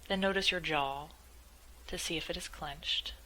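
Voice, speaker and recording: A woman leading a guided meditation in a measured, gently instructive way, as calm spoken instruction. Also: deep voice, a little hiss or hum, low-quality recording.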